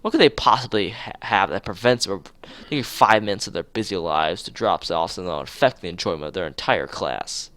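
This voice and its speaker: A man's voice: deep voice, expressive, a little fast, few pauses, fairly bright tone, no echo. A man voicing a bossy, accusing schoolgirl from a visual novel in an emphatic, scolding manner. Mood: indignant. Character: bossy, accusing schoolgirl speaking for student council.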